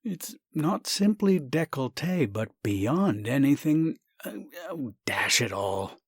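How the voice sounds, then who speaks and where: A man's voice: mid-range voice, big pitch swings, slow, breathy edge, no echo, clean studio sound, pitched above the performer's own voice, exaggerated voice. A man voicing a hesitant, embarrassed, proper family-man doctor in a comic story, fussy and hemming and hawing. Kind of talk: acted reading of a comic short story.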